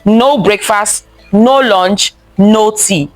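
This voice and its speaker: A woman's voice: mid-range voice, drawn-out words, no echo, faint music behind. A woman reading a picture book aloud to children, expressively, repeating phrases and stopping to ask them questions. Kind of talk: interactive children's story time read-aloud.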